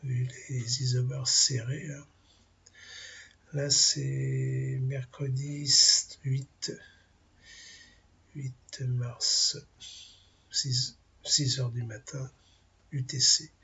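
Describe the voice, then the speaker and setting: A man with a French accent talks slowly through a weather briefing, his voice rough and gravelly and thick with a head cold. He pauses often, hunting for words, and the recording sounds dull and lo-fi. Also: medium pitch, little pitch movement, bright, forceful tone. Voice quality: gravelly, husky. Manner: halting, many fillers, thinking aloud over weather maps. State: has a cold, clears throat. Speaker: amateur weather forecaster.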